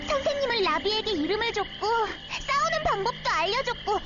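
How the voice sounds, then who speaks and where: A woman's voice: very high voice, very animated, a little fast, heavy background noise. A woman voicing a plucky, innocent young girl with a childlike manner in an anime-style game. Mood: earnest, determined. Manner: childlike, refers to self in third person. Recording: slightly dull audio under loud music. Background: loud background music.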